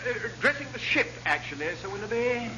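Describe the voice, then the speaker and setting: An actor playing a flustered, bumbling British navy officer in a classic radio sitcom, hesitant and stammering. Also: male voice, extremely high pitch, big pitch swings, brisk. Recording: dull, noisy old radio recording.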